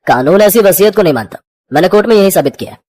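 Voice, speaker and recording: Man playing a brisk, confident lawyer. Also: sits high, animated, a little mellow. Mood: assured. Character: confident male lawyer explaining a court win.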